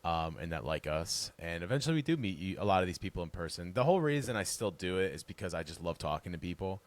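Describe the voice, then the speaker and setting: A man with a very deep bass voice, chatting casually as a podcast guest, rambling and relaxed with frequent laughs. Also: fast. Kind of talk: casual interview chat.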